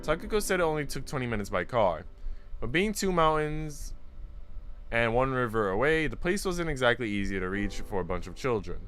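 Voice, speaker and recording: A man's voice: medium pitch, very animated, brisk, a bit bright. A man streaming a story read-aloud, with casual, slangy chat to his viewers. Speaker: streamer reading a visual novel aloud. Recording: music playing behind the voice. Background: guitar and instrumental music.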